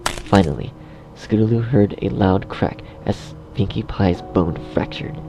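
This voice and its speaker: Low, creaky-voiced man narrating a story. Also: unhurried, noisy background. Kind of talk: scripted dark fan fiction narration.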